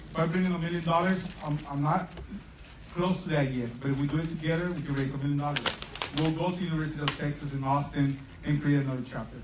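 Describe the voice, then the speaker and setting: A man from El Salvador giving an emphatic motivational speech as a program founder, often checking that listeners agree. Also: fairly high pitch. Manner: impassioned, emphatic, seeks agreement with "right?". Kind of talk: motivational public speech to parents and students. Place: large room at a live event. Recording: roomy, distant mic, faint noise.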